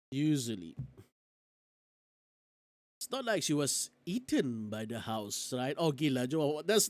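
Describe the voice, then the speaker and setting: Animated woman streamer, dry close sound. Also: deep voice, dry recording. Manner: theatrical reading with exclamations and asides. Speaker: streamer playing a visual novel. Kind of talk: live game reading with casual commentary.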